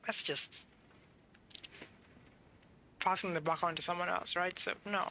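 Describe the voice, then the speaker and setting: A woman's voice: lowish voice, steady tone, measured pace, bright, forceful tone, slight background noise. A woman game streamer giving casual live commentary, musing aloud in an offhand way.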